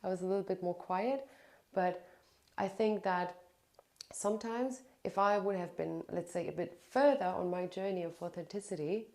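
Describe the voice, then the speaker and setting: A woman hosting a podcast interview, affirming her guest and telling personal stories in a lively, casual way. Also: lowish voice, moderate pace. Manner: warm encouraging listener, lively anecdotal storyteller. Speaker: podcast host.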